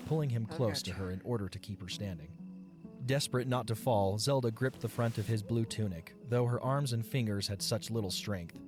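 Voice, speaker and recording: A man narrates a scripted audio story in a fairly low voice. Music plays clearly behind his storytelling.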